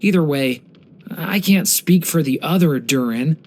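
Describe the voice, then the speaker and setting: A young man voicing a gentle, earnest dragon boy for a video game, polite and slightly hesitant. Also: fairly high pitch, expressive, a little slow. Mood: earnest, reflective. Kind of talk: video game character voice lines.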